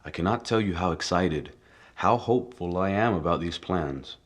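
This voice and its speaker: Male lead for audio drama: actor as brooding, authoritative billionaire vigilante, deep voice, deliberate and grave. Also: animated. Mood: earnest, hopeful. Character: solemn, commanding male billionaire secretly a vigilante. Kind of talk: audio drama acting, measured speechmaking.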